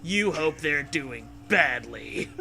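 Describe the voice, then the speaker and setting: A man's voice: a little high, lively intonation, slow pace, vocal fry, pushed and bright, faint music behind. A man reading a comic adventure story aloud in a theatrical, amused way, slipping into casual joking. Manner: theatrical, amused, breaking into riffs and swearing. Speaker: host reading aloud a choose-your-own-adventure parody.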